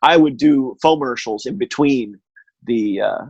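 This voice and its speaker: American man chatting haltingly, close dry sound. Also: mid-range voice, breathy edge, dry recording, highs cut off. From Connecticut, United States. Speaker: podcast guest, audio drama producer. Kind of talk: casual conversational interview.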